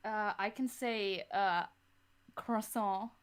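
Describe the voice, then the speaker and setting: A woman's voice: medium pitch, fairly even intonation, slow pace, clear, resonant tone. A woman whose first language is German, chatting casually as a guest on a podcast. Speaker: podcast guest, audio drama creator. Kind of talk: casual chat.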